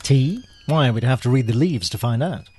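Droll, lively British gentleman spy. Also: medium pitch, moderate pace, husky. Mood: wry, composed. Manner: droll, understated. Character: urbane, wry male British spy, unflappable gentleman agent. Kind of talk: spoof radio spy drama acting.

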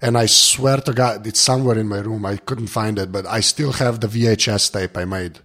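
A tired man with a gravelly voice chatting casually as a podcast guest, rambling in an informal, self-deprecating way. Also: fairly low pitch, steady tone, fairly quick, a bit bright. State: tired, barely awake. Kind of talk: casual chat.

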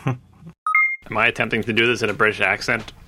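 A man's voice: fairly low pitch, fairly even intonation, moderate pace, heavy background noise. A man bantering casually and playfully as a performer in a group session. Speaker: performer in a group radio drama.

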